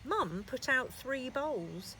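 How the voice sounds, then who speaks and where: A woman storyteller narrating a scripted children's story in an expressive, deliberate way. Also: sits high, a little hiss or hum, low-quality recording.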